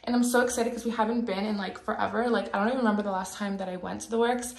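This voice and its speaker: A woman's voice: medium pitch, fairly even intonation, racing, gravelly, reverberant. A woman in her twenties chatting casually to her phone camera in a mirror, bubbly and full of "like" and "you guys". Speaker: lifestyle vlogger. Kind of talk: casual vlog chat to camera. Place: small indoor hallway.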